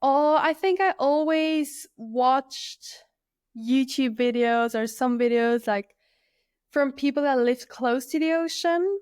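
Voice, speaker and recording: Woman, early 30s, from Switzerland; relaxed podcast guest, crisp voice, dry close sound. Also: high-pitched, slow pace, dry recording. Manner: relaxed, thoughtful, many fillers and "like". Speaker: guest, freediver. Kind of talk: casual conversational interview.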